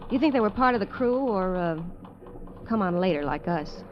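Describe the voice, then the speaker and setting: An actress playing a weary, candid dancer adrift on a derelict ship in 1950s radio drama style, talking in a hesitant, low-key way. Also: female voice, expressive, moderate pace, slight background noise, natural voice. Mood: wistful. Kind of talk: 1950s radio drama acting and first-person narration. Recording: dull vintage radio, music behind.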